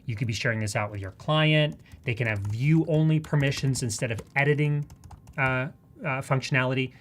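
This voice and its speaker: Female live tutorial host, Canadian accent, low-lying voice for a woman, chatty and keen, conversational delivery. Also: brisk, slight background noise. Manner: chatty, enthusiastic. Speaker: presenter of a live software tutorial. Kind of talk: live demonstration, casual and conversational.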